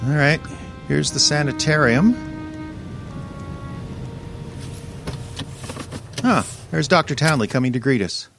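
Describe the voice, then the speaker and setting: A man's voice: fairly high pitch, lively intonation, normal speed, creaky, music in the background. A man acting a brash, wisecracking detective's assistant who also narrates, in old-time radio mystery style. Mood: urgent. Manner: wry, colloquial, breezy.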